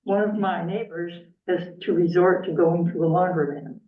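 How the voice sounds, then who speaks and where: A woman's voice: lowish voice, normal speed. A woman telling a plain, slightly wry story in a group discussion. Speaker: discussion participant. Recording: distant, phone-like, echoey.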